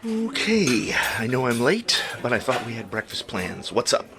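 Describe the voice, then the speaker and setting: Easygoing, teasing boyfriend, played by an actor, noisy background. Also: male voice, medium pitch, big pitch swings, normal speed, lightly acted. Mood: casual. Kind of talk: audio drama acting.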